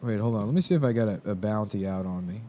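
A man's voice: fairly low pitch, lively intonation, quick pace, mellow. Crude, sarcastic man bantering casually. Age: 30s. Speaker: podcast host.

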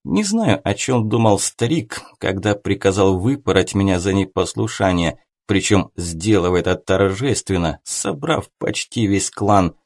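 Low-pitched man narrating an audiobook. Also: animated, a little slow, hardly any pauses. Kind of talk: scripted first-person novel narration.

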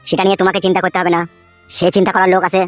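A man's voice: high-pitched, monotone, rapid-fire, no room sound, faint music behind, natural voice. A man voicing a brash young henchman nephew in an amateur comedy cartoon, lecturing and insistent.

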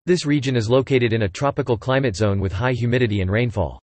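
A man reads English example sentences one after another at a brisk clip, evenly and without flourish. His voice sits at a middle pitch, and the sound is completely dry with no room around it. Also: no echo. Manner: even, clipped reading at high speed. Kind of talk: scripted sentence reading for English listening practice.

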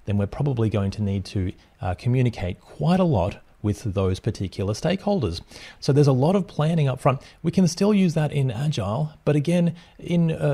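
Mellow-voiced man teaching project planning. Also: medium pitch, vocal fry. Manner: plain, steady teaching with frequent fillers. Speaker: project management instructor. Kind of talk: explanatory lesson over slides.

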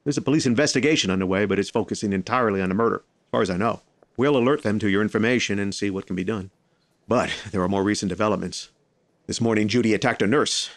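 An actor playing a formal, clinical hospital doctor in an audio drama, with precise wording. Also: male voice, lowish voice, quick pace, dry recording, close to an everyday voice. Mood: clinical, grave.

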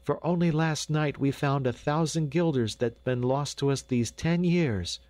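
A man voicing a fussy, warm and eager peasant mother in a storybook, with homely old-fashioned speech. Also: mid-range voice, lively intonation, a little slow, dry recording, pitched above the performer's own voice.

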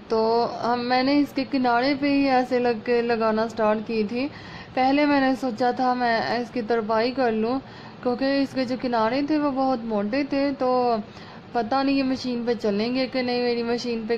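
A woman with a sore, slightly hoarse throat, chattily explaining a home sewing repair step by step. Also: fairly high pitch, steady tone, unhurried, darkish tone. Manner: chatty, homely, explaining as she works. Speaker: sewing channel host. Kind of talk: casual step-by-step sewing tutorial. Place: at home over a work table. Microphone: phone camera over hands. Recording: muffled lo-fi phone audio, faint room noise.

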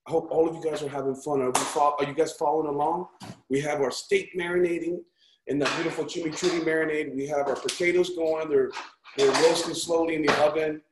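A man's voice: fairly high pitch, steady tone, fast. A man hosting a casual cooking class, chatty and encouraging, often checking in with his listeners as he demonstrates. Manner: upbeat, encouraging, chatty with frequent check-ins like "right?" and "okay?". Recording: echoey room, background noise.

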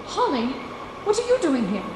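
A woman's voice: very high voice, huge pitch swings, normal speed, exaggerated voice. An actress playing a proud, bitter, loyal woman in love in an emotional radio drama. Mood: anxious, questioning. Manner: emotional, reproachful. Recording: echoey hall with noisy ambience.

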